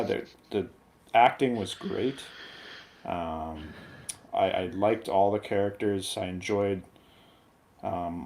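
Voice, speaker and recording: A man giving casual opinions as a guest reviewer, in a halting, reflective way. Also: fairly low pitch, darkish tone, a little hiss or hum. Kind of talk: casual opinionated film chat.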